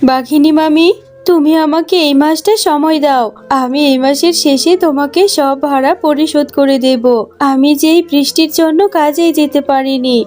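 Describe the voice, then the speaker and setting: Woman voicing a high-pitched, tender jackal mother. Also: steady tone, normal speed, a little mellow, lightly acted. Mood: pleading, apologetic. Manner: tender, pleading, earnest. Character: poor, gentle, devout jackal mother. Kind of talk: children's cartoon voice acting. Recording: music under the voice.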